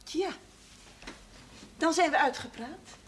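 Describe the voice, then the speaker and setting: A woman's voice: high voice, slow pace. Dry, laconic middle-aged woman. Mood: dry, sensible. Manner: dry, laconic, matter-of-fact. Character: down-to-earth, dryly sensible mother of a grown son. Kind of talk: 1970s Dutch television drama acting. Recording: slightly noisy old TV soundtrack.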